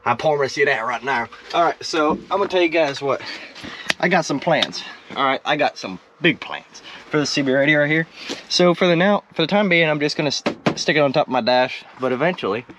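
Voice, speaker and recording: A man's voice: on the high side, animated, a little fast. A teenage man chatting casually and goofily to viewers as he works on a truck, joking at his own expense. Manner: goofy, self-joking, mimics sounds. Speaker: vlogger restoring an old truck. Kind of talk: casual vlog chat while working. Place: in and around a truck. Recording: clearly noisy background with clicks.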